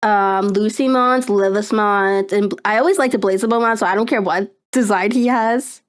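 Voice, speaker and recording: A young woman streamer chatting casually on a live stream, rambling and excitable, with lots of fillers and laughter. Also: mid-range voice, normal speed.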